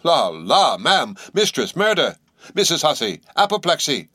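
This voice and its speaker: A man voicing a flustered, fussy chambermaid woman who cries out in shrill exclamations. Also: lively intonation, brisk, fairly bright tone, no echo, clean studio sound. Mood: panicked.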